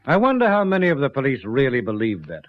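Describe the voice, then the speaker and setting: An actor as the narrator of a radio crime drama, speaking in a grave, rhetorical scripted style. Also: male voice, medium pitch, wildly swooping pitch, quick pace, dry recording. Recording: dry, dull lo-fi radio recording.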